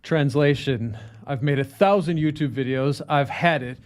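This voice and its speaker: A man's voice: fairly high pitch, normal speed. A man hosting a podcast interview, asking questions in a friendly, joking way. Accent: non-native speaker of Polish, foreign. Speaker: podcast host.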